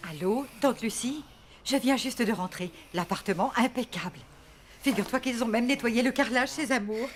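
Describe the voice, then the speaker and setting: A woman's voice: a little high, normal speed, noisy background, natural voice. A woman voicing a pleased, chatty mother in a comedy cartoon, gushing with satisfaction. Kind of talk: animated cartoon voice acting. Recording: music behind the voice.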